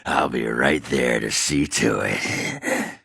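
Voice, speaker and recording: A man playing a crude, boozy, belligerent dwarf warrior in a video game, with a raspy, guttural voice and a coarse, blustering, swaggering manner. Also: very deep voice, very slow, pushed and bright, no room sound, clean studio sound, exaggerated voice. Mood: lecherous, leering. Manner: coarse, blustering, swearing, slurred drunken swagger. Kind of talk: video game character acting.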